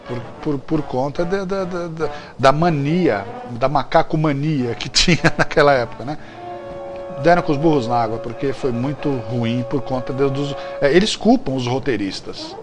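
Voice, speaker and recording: Colloquial Brazilian man over prominent music. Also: fairly high pitch. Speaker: host. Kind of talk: casual informal chat about old TV series.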